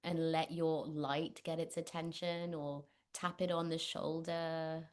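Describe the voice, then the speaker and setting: A woman leading a guided meditation, speaking gently and unhurriedly with long pauses. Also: low-pitched, level tone. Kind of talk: guided meditation, spoken instruction.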